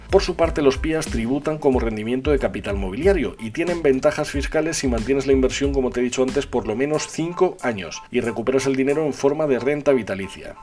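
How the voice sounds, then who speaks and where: A man from Spain, hosting a podcast and reading a measured, scripted explanation of savings products. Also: mid-range voice, darkish tone. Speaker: podcast host.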